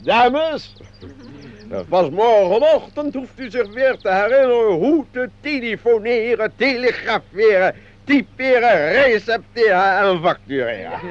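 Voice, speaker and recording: An actor playing a pompous company director, declaiming a speech to his staff in a Dutch radio play. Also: high-pitched. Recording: dull, noisy old radio recording.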